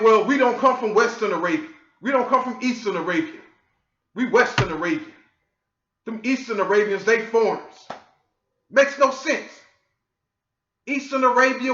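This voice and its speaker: A man from the United States lecturing his viewers in a scolding, forceful way and often asking "okay?". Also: very high voice. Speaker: online commentator. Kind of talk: lecturing monologue. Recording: echoey, dull lo-fi audio.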